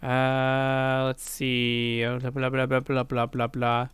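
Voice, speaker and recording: A man's voice: medium pitch, completely flat delivery, takes it slowly, a bit bright, smooth, no echo. A man giving casual, rambling let's play commentary with crude joking asides. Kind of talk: casual game commentary and read-aloud.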